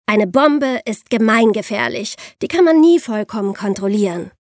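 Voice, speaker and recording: A woman playing a young podcast host who explains crime cases to friends in a clear, patient, conversational way. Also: mid-range voice, animated, normal speed, darkish tone, no room sound, studio quality, close to an everyday voice. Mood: explanatory, engaged.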